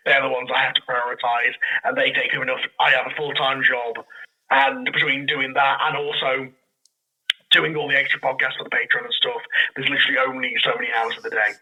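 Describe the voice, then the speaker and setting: A man's voice: medium pitch, flat delivery, a little fast, sharp tone, husky, poor audio. A man speaking as a podcast guest and audio drama creator, chatting casually and rambling through his explanations. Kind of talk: casual interview chat.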